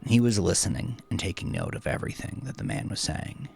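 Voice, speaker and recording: A man's voice: sits low, huge pitch swings, brisk, creaky, darkish tone, husky, soft background music. A man narrating crime fiction as a dramatic scripted audiobook.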